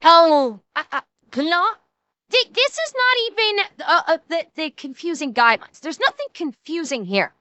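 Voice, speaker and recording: A young woman talks straight to her live chat, indignant and blunt, with the occasional curse. Her voice is high and bright with a smooth, crisp tone. It is recorded close on a desk mic in a dry room. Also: animated, moderate pace, dry recording. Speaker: streamer. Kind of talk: live stream chat with viewers.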